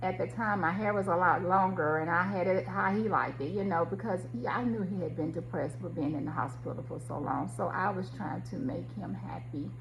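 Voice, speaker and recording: A middle-aged woman with a somewhat low voice shares a personal story as a vlogger. She is conversational and reflective, addressing listeners directly. A faint hum and noise sit behind her voice in the recording. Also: brisk, hardly any pauses.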